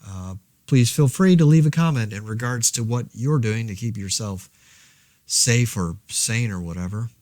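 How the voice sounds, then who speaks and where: An actor playing a laid-back man podcasting alone through a zombie outbreak, rambling in a wry, deadpan, hesitant way. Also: medium pitch, normal speed, no echo, clean studio sound, natural voice. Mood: resigned, friendly. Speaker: podcast host. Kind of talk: casual monologue, fictional audio diary.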